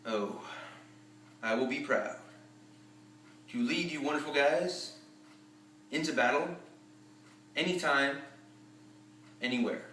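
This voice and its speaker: Man acting a forceful, sharp-voiced army general. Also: medium pitch, slight background noise. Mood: proud, gruff. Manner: emphatic, commanding, deliberate with dramatic pauses. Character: tough, profane American army general rallying his troops. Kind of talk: theatrical war-movie monologue. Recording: roomy echo, distant microphone.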